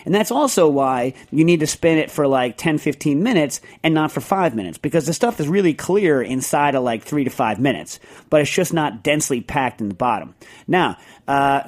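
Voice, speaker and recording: A man's voice: a little high, lively intonation, moderate pace. A middle-aged man hosting a podcast, chatting casually and explaining technical points step by step with many fillers and restarts. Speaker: podcast host.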